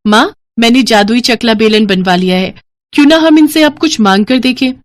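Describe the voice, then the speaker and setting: A woman voicing a meek, dutiful young daughter-in-law in a Hindi cartoon story, polite and expressive. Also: on the high side, normal speed, dry recording, studio quality, pitched above the performer's own voice, natural voice. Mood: excited, hopeful.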